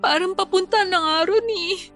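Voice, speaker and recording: An actress playing a heartbroken, clinging young bride-to-be who confides plaintively and tearfully in a Filipino radio drama. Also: female voice, very high-pitched, normal speed, a bit bright, soft background music. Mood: tearful confiding.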